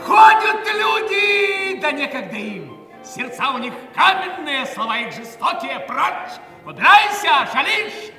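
An actor playing an anguished poor man, declaiming a theatrical monologue with great passion, swinging from hushed words to cries. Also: extremely high pitch, sing-song, takes it slowly, exaggerated voice. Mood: anguished, impassioned. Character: anguished poor man bewailing a starving beggar child. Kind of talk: theatrical dramatic monologue in an audio play. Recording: echoey hall, distant mic, music behind.

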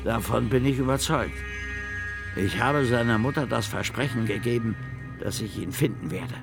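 An actor playing a weary male state prosecutor, stern yet compassionate and measured, in a German radio drama, with a gravelly voice. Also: medium pitch, music in the background, natural voice. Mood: determined.

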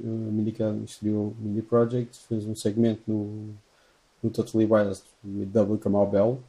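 A man's voice: lowish voice, warm tone, low-quality recording. A man with a European Portuguese accent, explaining things at length in casual chat, often correcting himself mid-sentence. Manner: rambling, self-correcting explanations.

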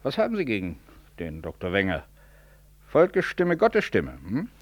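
An actor playing an officious, insinuating medical professor in a 1960s radio drama, formal and probing in manner. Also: male voice, on the low side, huge pitch swings, a little slow, exaggerated voice. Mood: sly, probing. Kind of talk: 1960s German-language radio drama acting.

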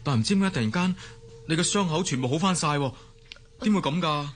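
A man's voice: on the high side, lively intonation, quick pace, slight background noise, close to an everyday voice. A man playing a loyal, incredulous young grandson in a radio drama. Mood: concerned.

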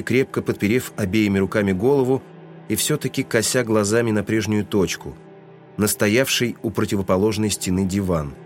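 A man narrating a literary audio drama in a scripted, storytelling style. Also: lowish voice.

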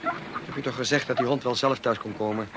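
An actor playing a moody, short-tempered middle-aged husband in a Dutch radio drama, with a curt and commanding way of talking. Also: male voice, medium pitch, fairly even intonation, brisk, lightly acted. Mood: angry, curt. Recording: noisy old radio play recording.

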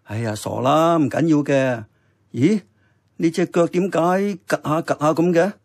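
A man acting a kindly older uncle and diner boss with a gentle, solicitous manner. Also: fairly high pitch, measured pace. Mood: kindly, concerned. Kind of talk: radio drama acting.

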